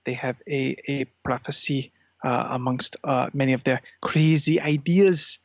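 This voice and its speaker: Man voicing a gravelly, pompous scheming cat. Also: mid-range voice, steady tone, no echo, lo-fi sound. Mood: pompous lecturing. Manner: pompous, halting, grandiose. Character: imperious, scheming male cat plotting feline takeover. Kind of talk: improvised comedy podcast character.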